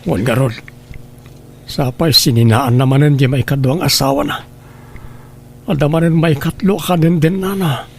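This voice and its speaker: A man's voice: fairly high pitch, normal speed, vocal fry, long pauses. A man playing an ailing, worried grown son in a radio drama, confiding in a subdued, sorrowful way. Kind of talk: Ilocano radio drama acting.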